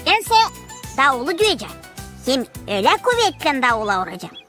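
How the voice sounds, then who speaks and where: Squeaky, boisterous little animal drummer. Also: female voice, very animated, fast talker, sharp tone, soft background music, exaggerated voice. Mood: boastful, excited. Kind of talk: cartoon character acting.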